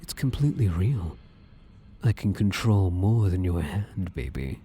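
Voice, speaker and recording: A man playing a possessive, domineering living shadow in an intimate ASMR roleplay, coaxing and commanding in a breathy, husky voice. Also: sits low, sing-song, a little slow, warm tone, a little hiss or hum. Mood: possessive, adoring. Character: possessive, domineering living shadow, male, obsessively devoted lover.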